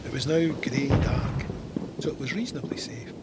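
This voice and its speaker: A man's voice: normal speed, whispered, lightly acted. An actor playing a Scottish soldier narrating his war memoir in scripted audio drama style. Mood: measured, grave. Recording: loud storm and rumble effects behind.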